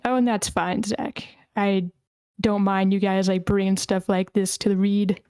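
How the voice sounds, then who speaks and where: A woman's voice: medium pitch, little pitch movement, measured pace, mellow, clean tone, dry recording. A woman casually hosting an online table read, speaking informally and a little hesitantly, in an accommodating way, close to the microphone. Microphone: close microphone on an online voice call.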